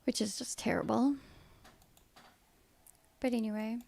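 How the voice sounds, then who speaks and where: Soothing, gravelly-voiced woman streamer. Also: a little high, measured pace, a little mellow. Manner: chatty and playful, breaking into firm repeated no's. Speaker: live streamer. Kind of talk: casual live-stream chat, answering viewers' comments.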